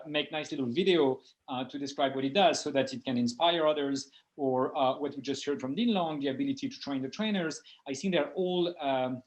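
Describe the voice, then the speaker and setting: Higher-pitched French-accented man, halting and reflective. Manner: halting and reflective, frequent pauses and repeated phrases. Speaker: education organization leader speaking at a festival. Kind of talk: extemporaneous talk at an online panel.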